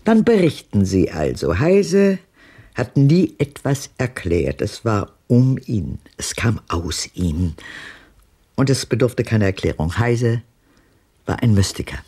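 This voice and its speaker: An older actress playing a bitter, haunted old woman in a radio drama, speaking deliberately and pointedly in a low, breathy voice that drops into whispers. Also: big pitch swings, mellow, heavily acted. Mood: brooding, measured.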